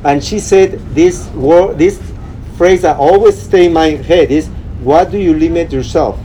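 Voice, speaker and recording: A man from Peru with a Peruvian accent, talking as a guest runner in a casual interview, pausing to search for words. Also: fairly high pitch. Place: outdoors by the beach. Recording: outdoor noise, passing traffic.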